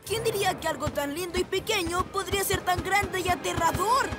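A woman's voice: extremely high pitch, fairly quick, over loud music. A woman playing an eager, anxious six-year-old boy superhero in a Latin American cartoon dub, earnest and exclamatory. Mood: earnest, worried.

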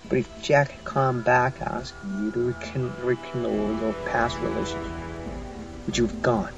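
A man's voice: mid-range voice, steady tone, moderate pace, vocal fry, voice pushed up, natural voice. A man voicing a reproachful young granddaughter in an audiobook, throwing pointed, accusing questions. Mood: challenging. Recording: muffled recording with music behind.

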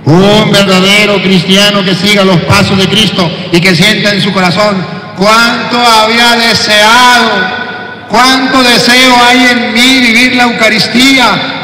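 Priest preaching, high bright voice, echoey church from far off. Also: male voice, slight background noise. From Venezuela. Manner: animated, acts out callers and quotes, swings from soft to shouting. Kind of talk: lively sermon. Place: large church, indoors. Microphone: handheld mic.

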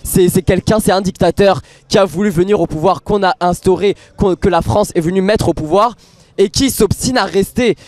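Young man from Morocco, high-pitched and heated, dry close handheld mic. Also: moderate pace, darkish tone, dry recording, faint music behind. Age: young adult. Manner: passionate, stumbling restarts. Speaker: interviewee. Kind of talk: street interview statement. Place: city street at night, outdoors. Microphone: handheld reporter mic.

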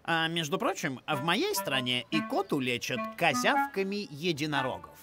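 A magical talking cartoon cat, greedy and full of itself. The delivery is theatrical and grumbling, with a very animated pitch. Loud music plays underneath. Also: female voice, moderate pace. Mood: grumbling, haughty. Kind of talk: children's cartoon voice acting.

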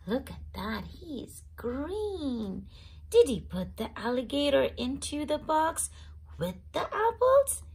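A woman reading a children's picture book aloud as the channel's host, slowly and with clear stress on each word. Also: high voice, sing-song, a little mellow. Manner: slow, clearly stressed storytelling.

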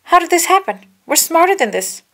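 A woman's voice: very high voice, very animated, fairly quick, fairly bright tone, voice pushed up, exaggerated voice. A woman voicing a confident, heroic male Jedi master in an emphatic children's storybook read-aloud. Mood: indignant.

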